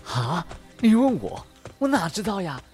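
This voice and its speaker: A woman playing a gruff, grumbling henchman thug in an audio drama, quick to complain. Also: medium pitch, sing-song, darkish tone, faint music behind.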